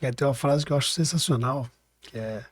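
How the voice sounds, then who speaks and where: A man's voice: medium pitch, brisk, no echo. A man with a Brazilian accent hosting a podcast in a casual, colloquial way, often checking for agreement with short tag questions. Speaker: podcast host. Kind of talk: casual chat, interviewing.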